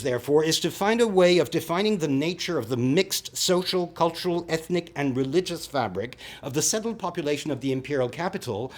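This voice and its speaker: Lecturing man with a loud voice. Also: fairly high pitch. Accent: Turkish. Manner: measured, wordy, with many fillers and restarts. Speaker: university lecturer. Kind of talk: academic conference talk. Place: conference room with reverb. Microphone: handheld or podium microphone.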